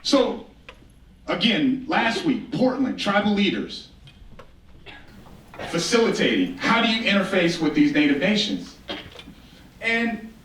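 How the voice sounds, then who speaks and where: A man's voice: high-pitched, sing-song, takes it slowly, pauses often, a bit bright. An American man from the Northern Plains giving an informal storytelling talk on stage, conversational and wry, repeating phrases for rhetorical effect. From United States, Northern Plains. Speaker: public speaker, storyteller. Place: indoor stage talk in a roomy venue. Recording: roomy echo, distant microphone.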